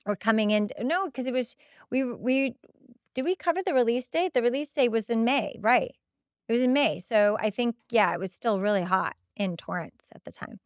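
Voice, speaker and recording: A middle-aged woman hosting a podcast, reading recap notes with casual, expressive and exasperated asides. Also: a little high, normal speed, no room sound, clean studio sound. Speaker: podcast host.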